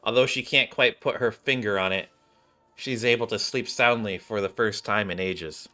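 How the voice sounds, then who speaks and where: A man's voice: lowish voice, normal speed, sharp tone. A man streaming a game, reading the narration aloud and dropping in casual asides.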